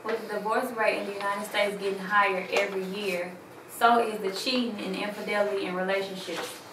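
An echoey room with faint hiss carries a woman's mid-pitched voice. She reads a scripted awareness statement as a trailer presenter. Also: fairly even intonation, brisk, recorded from a distance. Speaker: cast member presenting a play trailer. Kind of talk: scripted public-awareness announcement. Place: small living room, seated before camera.

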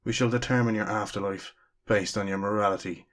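A man playing a calm, dry devil who judges souls, measured and deadpan in a radio drama. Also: fairly low pitch, natural voice. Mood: dry, matter-of-fact.

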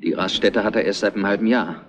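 An actor playing a matter-of-fact assistant detective in a retro crime drama, on a dull, muffled old soundtrack. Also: male voice, lowish voice, fast, a little hiss or hum, close to an everyday voice.

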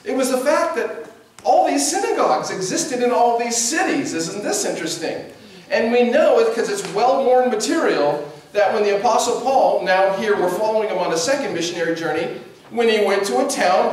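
Animated preacher in an echoey church. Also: male voice, high-pitched, distant mic. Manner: animated, conversational preaching with gestures. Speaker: pastor. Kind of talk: sermon. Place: church sanctuary at a pulpit. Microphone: distant camera mic.

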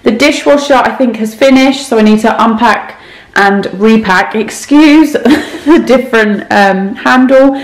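A woman's voice: fairly high pitch, unhurried. A woman in her thirties chatting casually while she tidies her home, with a light, self-mocking way of talking. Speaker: cleaning vlogger. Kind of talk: casual clean-with-me vlog chat. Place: home kitchen and rooms, indoors. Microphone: camera mic, handheld and set on the counter. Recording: echoey kitchen room.

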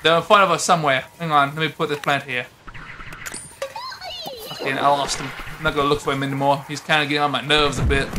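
A man dubbing an eager, bossy rookie plant soldier for a comedy video game, excitable and nagging. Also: fairly high pitch, fast, background noise, natural voice. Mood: deflated, grumbling. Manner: excitable, nagging, often shouting. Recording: game music behind the voice.

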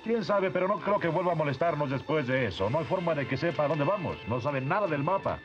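A man's voice: a little high, expressive, fairly quick, natural voice. A man with a Latin American accent voicing a good-natured, assured young hero prince in a cartoon. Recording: dull dub under loud cartoon music.

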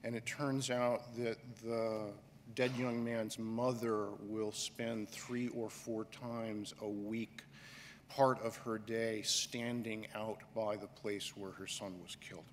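A middle-aged man delivers a keynote from a podium microphone, measured and emphatic, leaning on pauses. His unhurried delivery rings out through a large hall with a long reverb tail. Faint room ambience sits underneath. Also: on the low side, little pitch movement, slight background noise. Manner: slow, deliberate and emphatic, with pauses and gestures. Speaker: conference director giving a keynote. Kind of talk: keynote speech. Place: large auditorium stage, indoors.

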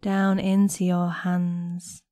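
A woman guiding a meditation in a gentle, soothing, unhurried manner with long pauses between phrases. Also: on the low side, monotone, no echo, studio quality. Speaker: guided meditation instructor. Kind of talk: guided body scan meditation narration.

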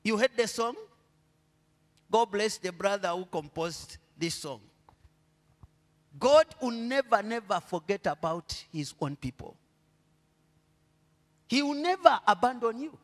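A middle-aged Zambian man preaching a sermon on a church stage into a handheld mic. He asks the congregation to finish his sentences and swings between quiet murmurs and loud, drawn-out proclamations. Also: high voice, lively intonation, big-room sound. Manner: preaching with call-and-response questions to the congregation. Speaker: pastor.

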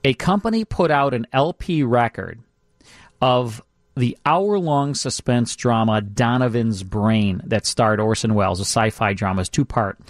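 A man's voice: mid-range voice, no room sound, clean studio sound. A man hosting a radio show, chatting casually and enthusiastically as he explains classic shows.

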